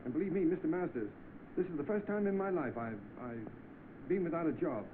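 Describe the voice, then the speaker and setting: An actor playing an eager, hard-up young job seeker in 1930s film style. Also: male voice, fairly high pitch, expressive, brisk, natural voice. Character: eager, hard-up young man seeking work. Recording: noisy narrow-band old film soundtrack.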